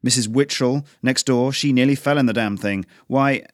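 A man voicing a stern, gruff middle-aged father who growls and barks orders. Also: medium pitch, moderate pace, no room sound, clean studio sound, close to an everyday voice.

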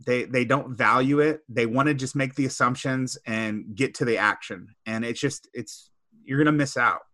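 A man talking in a casual discussion, his voice bright and forceful. He tells stories with many asides, recorded dry and close. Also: medium pitch, normal speed, no room sound. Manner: conversational, storytelling with asides. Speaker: podcast co-host.

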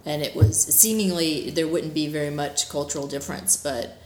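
A woman's voice: deep voice, steady tone, normal speed, slight background noise. Tennessee woman interviewer, echoey room. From Tennessee, USA. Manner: curious, friendly questioning. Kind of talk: casual interview.

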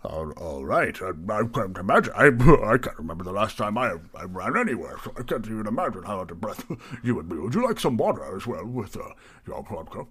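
Man acting out a half-elf barkeep, nervous, friendly, stammering, swooping pitch, husky edge, tabletop fantasy game. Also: very animated, brisk, a bit bright, exaggerated voice. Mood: polite, puzzled. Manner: stammering, flustered, polite. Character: large, nervous, friendly half-elven male tavern keeper.

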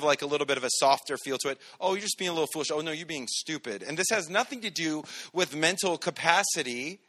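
Loud commanding preacher man. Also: medium pitch. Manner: energetic, conversational preaching. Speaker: pastor. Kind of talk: sermon.

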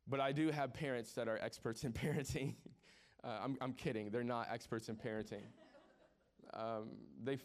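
A man's voice: mid-range voice, steady tone, moderate pace. A man in his forties preaching a sermon as pastor, conversational and joking with the congregation, often repeating words as he restarts. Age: 40s.

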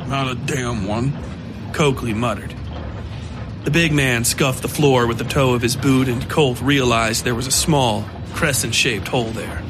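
Male horror fiction narrator over music. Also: medium pitch.

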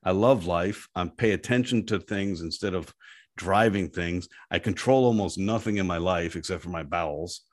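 A man with a deep voice talking in a casual interview, an animated and self-deprecating storyteller. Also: moderate pace. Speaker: guest, app founder.

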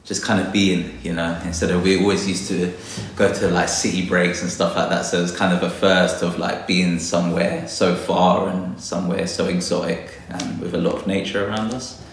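A man's voice: deep voice, level tone, moderate pace, few pauses, very echoey. A man from London, a guest botanist, talking reflectively in a casual interview, hesitant with many fillers. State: a little nervous.

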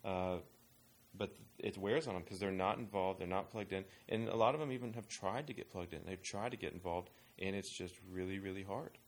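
A man with a fairly low voice talks in a loose, searching way and often restarts his sentences. He speaks as a guest on a casual panel discussion. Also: brisk. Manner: thoughtful, rambling with restarts. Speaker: ministry worker advising pastors on young adults.

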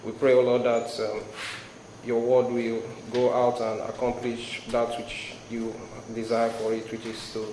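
Man, 30s, Nigerian accent, halting sermon delivery, flat intonation, echoey hall, audible background noise. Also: mid-range voice. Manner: hesitant, frequent ums and restarts. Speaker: guest speaker. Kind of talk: sermon with scripture reading and prayer. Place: large hall before a youth crowd.

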